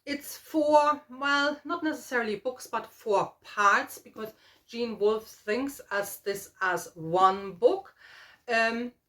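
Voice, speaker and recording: Middle-aged woman chatting casually, halting delivery. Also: on the high side, fairly bright tone. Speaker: book vlogger and host. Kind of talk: casual book chat to camera.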